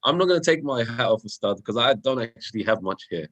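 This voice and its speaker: A man with a medium-pitched voice co-hosts a children's video call. He chats casually, greeting people by name with some light teasing. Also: expressive, fast talker, a bit bright, muffled recording. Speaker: co-host of an online children's session.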